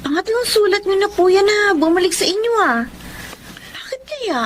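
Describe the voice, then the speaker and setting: An actress playing a dutiful, hopeful young daughter in a Filipino radio drama, respectful and eager. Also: female voice, very high-pitched, lively intonation, moderate pace. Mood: curious. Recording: faint background noise.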